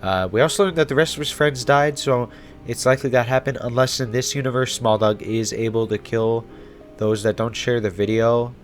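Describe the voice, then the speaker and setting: A man's voice: medium pitch, fairly even intonation, a little fast, soft background music. A man hosting a YouTube explainer in a casual, irreverent, conversational way. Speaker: YouTube host. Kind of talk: casual horror series explainer.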